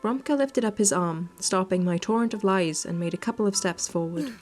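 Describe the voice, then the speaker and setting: A woman's voice: mid-range voice, a little fast, a little mellow. A woman hosting a visual novel playthrough, narrating the text and adding casual commentary. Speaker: gaming video host. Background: game music.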